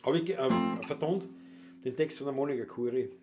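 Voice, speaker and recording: A man's voice: mid-range voice, brisk. A man with a Viennese accent hosting his music livestream, reading out viewer comments in an easygoing, rambling way and chuckling between remarks. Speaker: livestream host and Wienerlied singer. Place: at home, performing alone.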